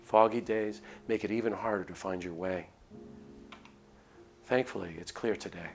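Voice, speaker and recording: A man's voice: deep voice, moderate pace, creaky. A man streaming a visual novel, reading the narration aloud expressively with casual asides. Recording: music under the voice. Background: game background music.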